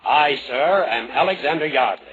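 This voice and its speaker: A man playing a pompous, demanding publisher in 1940s radio comedy, barking imperious, clipped questions in a rough, husky voice. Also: mid-range voice, lively intonation, moderate pace, a bit bright. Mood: indignant, imperious. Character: pompous, blustering male boss, a demanding publisher. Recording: muffled old-time radio recording.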